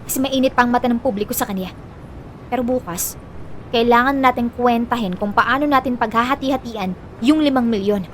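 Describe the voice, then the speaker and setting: A woman's voice: fairly high pitch, fairly quick, a little hiss or hum, natural voice. A woman with a Filipino accent playing a bossy, money-minded younger sister in an audio drama, blunt and sassy as she bickers. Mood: scheming.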